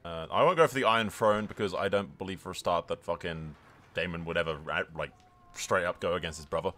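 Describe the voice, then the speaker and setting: A man's voice: sits low, fast talker, pushed and bright. A man hosting a gaming video, mixing casual, sweary commentary with theatrical role-play reading over music. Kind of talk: excitable gameplay commentary mixed with dramatic read-aloud role-play. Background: game music.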